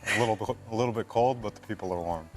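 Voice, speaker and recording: A man with an American accent answers a TV host's questions in a low, relaxed voice. He pauses often and fills the gaps as he searches for words. Faint music sits behind him. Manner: relaxed, hesitant with frequent uh fillers. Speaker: company director, studio guest. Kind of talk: interview answers.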